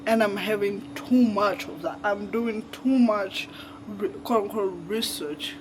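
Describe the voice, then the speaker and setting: This is a twenty-year-old man from Zimbabwe talking to his vlog audience in a high, light voice. He rambles candidly, leaning on fillers, and takes his time over each thought. Faint crickets and insects chirp in the background. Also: steady tone, measured pace, noisy background. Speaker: vlogger. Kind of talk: casual reflective chat to camera.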